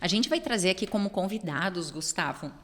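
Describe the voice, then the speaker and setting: Podcast co-host, middle-aged woman, first language German, southern Brazilian accent, lowish voice, reverberant hall. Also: expressive, a little fast. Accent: southern Brazilian Portuguese with German roots. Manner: storytelling, reflective, warm affirmations. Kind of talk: casual podcast conversation.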